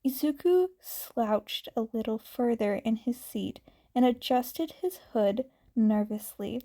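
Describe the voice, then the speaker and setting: A woman reading fanfiction aloud as a narrator in a soft, unhurried way. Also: a little high, expressive. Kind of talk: amateur audiobook narration.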